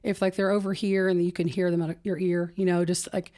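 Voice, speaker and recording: An older woman with a low voice, a comedian chatting casually on a podcast and rambling through stories with laughs. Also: little pitch movement, fast talker, dark tone. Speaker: comedian guest.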